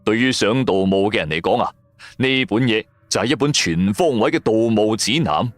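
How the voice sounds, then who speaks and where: A man with a Cantonese accent telling a scripted first-person story in a colloquial, expressive style. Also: lowish voice, normal speed, dry recording. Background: music.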